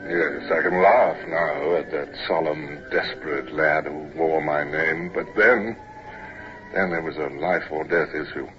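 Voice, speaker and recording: Very deep older captain narrating. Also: male voice, fairly even intonation, over music. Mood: reflective. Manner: measured, dramatic. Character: older naval captain reflectively recalling his youth. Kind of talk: dramatic first-person radio narration. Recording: muffled, noisy old radio recording.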